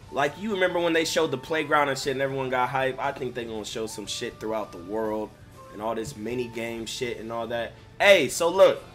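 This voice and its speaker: A man's voice: medium pitch, a bit bright. A young American man giving casual, sweary gaming commentary with a slangy, venting manner. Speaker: gaming video creator commenting on clips. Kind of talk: casual, profanity-laden commentary. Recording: music playing behind voice. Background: music.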